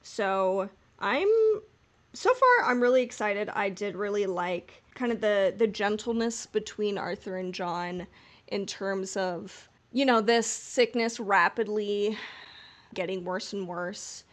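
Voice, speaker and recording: A woman's voice: medium pitch, expressive, slow. Playful woman chatting casually. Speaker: podcast co-host. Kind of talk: casual fan discussion.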